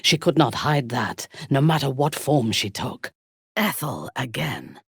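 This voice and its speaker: Disdainful female warrior cleric, husky, slow and deliberate, widely swooping pitch, cruel, imperious, fantasy game. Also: sing-song, dry recording, clean studio sound, exaggerated voice. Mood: cold disdain. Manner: commanding, haughty, deliberate, theatrical. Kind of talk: fantasy video game voice acting.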